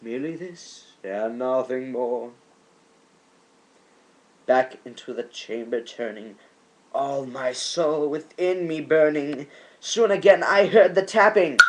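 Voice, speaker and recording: A man giving an amateur dramatic poetry reading, theatrical and drawn out, moving between hushed and loud delivery. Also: a little high, slow pace, long pauses, low-quality recording. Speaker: amateur reader of a poem.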